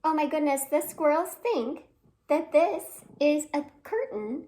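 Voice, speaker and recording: Animated, high-voiced woman reading to children. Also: measured pace. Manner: expressive, exclaiming, gasping, asking listeners questions. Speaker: children's storytime host at a library. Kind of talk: animated read-aloud for young children with sung greeting.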